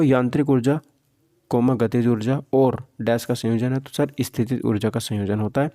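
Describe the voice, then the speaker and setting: A man's voice: medium pitch, mellow, no room sound, clean studio sound. A man teaching an exam practice lesson informally, posing questions and answering them himself. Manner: informal, Hindi mixed with English terms. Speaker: physics teacher.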